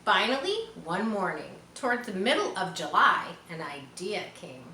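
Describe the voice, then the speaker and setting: A woman reading a children's story aloud, expressive, as if guiding young listeners through it. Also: mid-range voice, normal speed. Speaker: storybook reader. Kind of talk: children's read-aloud narration. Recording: roomy echo, faint background noise.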